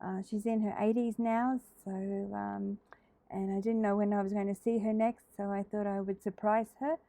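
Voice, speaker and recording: Middle-aged Australian craft host, mellow and a little throaty from a cold, rambling casually with plenty of ums. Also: female voice, medium pitch, flat delivery, moderate pace, gravelly, no room sound, rough recording. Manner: hesitant, lots of ums and uhs, chatty. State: slightly throaty from a head cold. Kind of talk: casual crafting tutorial chat.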